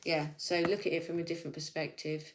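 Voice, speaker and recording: A woman's voice: deep voice, flat delivery, fast, slight background noise. Chatty woman reading tarot. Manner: chatty, reassuring, thinks aloud. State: slight sore throat. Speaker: tarot reader. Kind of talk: casual weekly tarot reading.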